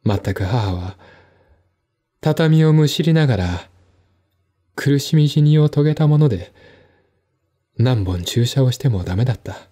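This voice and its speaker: A man playing a composed, articulate man in a one-man audio drama, explaining things in a measured, reflective way. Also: mid-range voice, expressive, warm tone, husky. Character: composed, articulate man recounting his stepmother's death.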